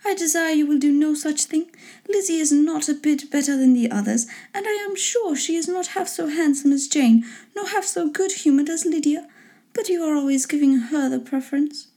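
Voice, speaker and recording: A woman voicing a fussy, excitable middle-aged wife and mother in a storybook reading, gushing, pleading and impatient. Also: high-pitched, normal speed, voice pushed up. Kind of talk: storybook character voice in an audiobook reading.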